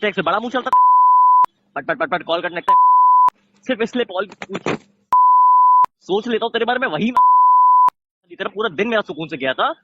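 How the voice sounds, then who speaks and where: A man's voice: sits high, lively intonation, brisk, long pauses, heavy background noise. A young man angrily scolding someone in a heated, confrontational argument on a recorded phone call. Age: young adult. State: angry.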